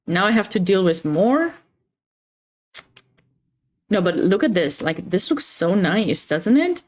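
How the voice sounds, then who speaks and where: A woman's voice: mid-range voice, big pitch swings, slow. A woman with a Romanian accent hosting a chess livestream, chatting casually and expressively with viewers between bits of commentary.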